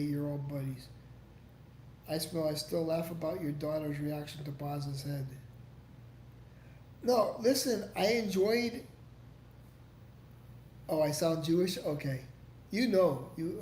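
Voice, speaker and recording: A man's voice: on the high side, unhurried, long pauses, a little mellow. Rambling, profane man in an echoey room. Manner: rambling and profane, with frequent "like" and restarts. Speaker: livestream host. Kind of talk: casual livestream chat, reading and answering viewer comments. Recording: echoey room with faint background noise.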